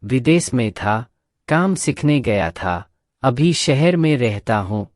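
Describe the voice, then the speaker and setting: A self-made young man from a moral-story cartoon , voiced by a male actor. He talks plainly and slowly at a middling pitch, in a dry sound. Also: lively intonation, darkish tone, no echo. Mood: matter-of-fact.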